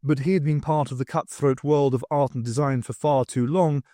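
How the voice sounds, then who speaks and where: A man's voice: medium pitch, fairly even intonation, moderate pace, dark tone, dry recording, studio quality. A man narrating a scripted story as an audiobook reader.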